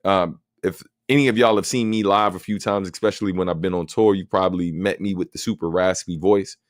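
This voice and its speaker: A man with a deep voice hosting a casual livestream Q&A, answering viewer questions in a relaxed, talkative way with plenty of fillers. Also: brisk, dry recording, studio quality. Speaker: host.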